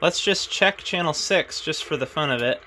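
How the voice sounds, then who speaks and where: A man thinking aloud in a relaxed way as he tests his CB radio in a garage. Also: fairly high pitch, moderate pace, slight background noise. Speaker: radio hobbyist demonstrating his CB radio. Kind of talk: casual hobby video narration. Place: home garage.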